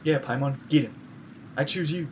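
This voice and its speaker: Very low-voiced woman streamer reacting casually. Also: normal speed, recorded from a distance, noisy background. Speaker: streamer playing and reacting to a game.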